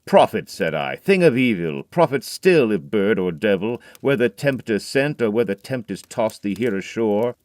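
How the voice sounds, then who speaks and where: Man acting haunted grieving man, very low voice, dry close recording, audio drama verse, brooding to pleading. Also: sing-song, moderate pace, hardly any pauses, dry recording. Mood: anguished. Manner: builds from hushed brooding to loud, pleading outbursts. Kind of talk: dramatic poetry reading.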